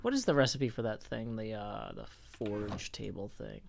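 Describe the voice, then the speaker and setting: A man's voice: lowish voice, huge pitch swings, moderate pace, gravelly, slight background noise. A man live-streaming a game, chatting in a rambling, casual way and answering his viewers as he plays. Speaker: livestreamer. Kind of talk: casual live-stream chat while playing.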